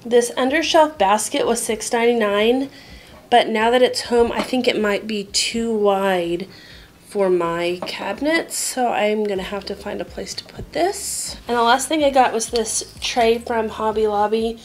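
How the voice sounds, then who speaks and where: A young woman with a slightly high voice talks casually through a do-it-yourself home vlog, shot on a handheld phone camera indoors. She chats in an easy, everyday way. A faint hiss sits under the recording. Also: a little slow. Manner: casual, chatty, often drops to a late-night whisper. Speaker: home vlogger. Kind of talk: casual DIY vlog narration.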